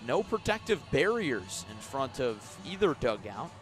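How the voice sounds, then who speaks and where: An American man doing live radio play-by-play of a baseball game, measured between pitches and emphatic on the action. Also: very animated, faint music behind. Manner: measured description rising into emphatic calls on the action. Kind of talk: live radio sports commentary. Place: outdoors at a ballpark.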